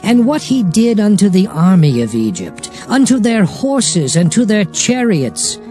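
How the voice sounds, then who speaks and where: An actor playing Moses as a solemn prophet exhorting his people in a declamatory, measured dramatized scripture reading. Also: sits high, huge pitch swings, mellow, full character voice. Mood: solemn, commanding. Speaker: scripture narrator. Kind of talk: dramatized Bible reading in archaic English. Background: music under the voice.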